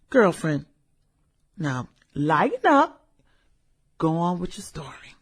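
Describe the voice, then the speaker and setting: A woman's voice: on the low side, slightly rough, heavily acted. A woman acting in a satirical radio drama as a vain, gossipy former cheerleader, with a sassy, drawling delivery and theatrical exclamations. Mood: snobbish dismissive.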